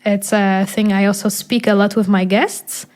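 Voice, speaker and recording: A woman's voice: mid-range voice, flat delivery, normal speed, darkish tone. A young woman from Poland whose native language is Polish, hosting a podcast and talking thoughtfully, searching for words with many fillers. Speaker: podcast host. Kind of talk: casual conversation.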